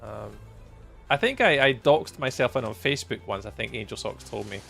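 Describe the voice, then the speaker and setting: A man's voice: medium pitch, normal speed, creaky, fairly bright tone, soft background music. A Scottish man in his forties chatting casually and good-humouredly with viewers while he plays a game. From Scotland. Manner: rambling and good-humoured, with frequent ums and chuckles. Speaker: gaming livestreamer. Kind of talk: casual chat with live viewers while gaming.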